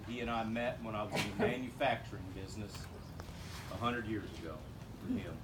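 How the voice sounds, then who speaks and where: A man's voice: fairly low pitch, brisk. Big-voiced older man joking through a toast, heavy noise behind. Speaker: investment adviser speaking at a party. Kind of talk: casual tribute speech. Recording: very noisy event recording.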